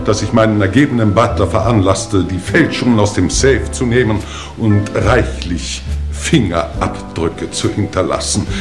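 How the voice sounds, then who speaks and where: An actor playing a pompous, self-justifying English lord in a 1950s German radio crime play, speaking formally and theatrically. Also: male voice, on the low side. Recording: old radio play with music behind.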